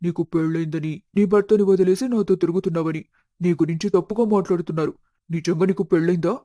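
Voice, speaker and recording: A man voicing an earnest young suitor with a dramatic way of speaking in an animated moral story. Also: sits high, fast, no room sound, studio quality, close to an everyday voice. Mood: questioning. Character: young suitor, earnest and devoted, later stern.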